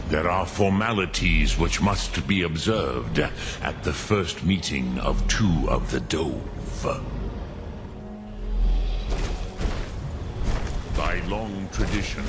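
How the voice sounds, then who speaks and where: A male actor playing an ancient, wise dragon in a fantasy video game, patient and weary, with a gravelly voice and slow, formal delivery. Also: very deep voice, exaggerated voice. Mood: grave, courteous. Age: older. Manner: slow, deliberate, formal, with long pauses.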